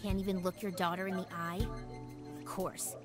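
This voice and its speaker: An actress playing a sharp-tongued young schoolgirl, mature beyond her years, with a curt, formal manner in a game dub. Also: fairly low pitch, little pitch movement, moderate pace, natural voice. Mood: icy, angry. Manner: curt, cutting, formal when apologising. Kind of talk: English video game dub acting. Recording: loud game music under the dialogue.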